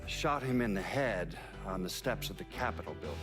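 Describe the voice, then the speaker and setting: A man's voice: deep voice, lively intonation, over music. An actor playing a seasoned man giving dry, matter-of-fact testimony about a violent underworld in a video game. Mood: dry, ominous. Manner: deliberate, hesitant pauses, dry.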